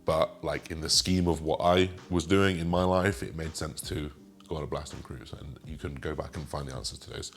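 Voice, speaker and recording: A man in his twenties with a slightly raspy voice, chatting casually as he answers viewer questions and rambles with fillers. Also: a bass voice, fairly even intonation, moderate pace. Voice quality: raspy today. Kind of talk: casual Q and A monologue.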